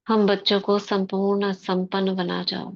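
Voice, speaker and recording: A woman leads a meditation in a soft, unhurried voice, keeping her pitch almost perfectly level from phrase to phrase. She speaks in short phrases broken by long pauses. The recording sounds a little dull and lo-fi. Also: monotone, creaky. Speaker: meditation guide. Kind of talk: guided meditation commentary.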